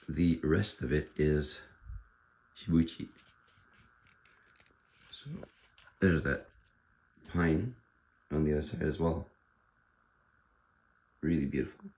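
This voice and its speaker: A man with a hoarse, fading voice, casually showing off a sword with frequent pauses and restarts. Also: extremely low pitch, darkish tone. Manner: halting, with many fillers and restarts. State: hoarse, losing his voice. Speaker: sword dealer presenting a katana. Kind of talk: casual show-and-tell.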